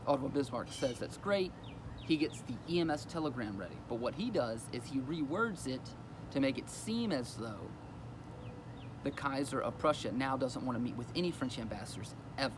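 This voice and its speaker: A man's voice: mid-range voice, animated, normal speed, noisy background. A man explaining a history lesson in a casual, conversational way, with fillers as he goes. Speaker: teacher.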